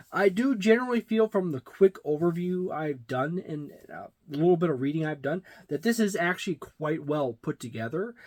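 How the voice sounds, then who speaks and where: A man in his forties giving a casual, unscripted product review with halting, deliberate phrasing. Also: high-pitched. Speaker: tabletop game reviewer. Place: small indoor room lined with shelves of games.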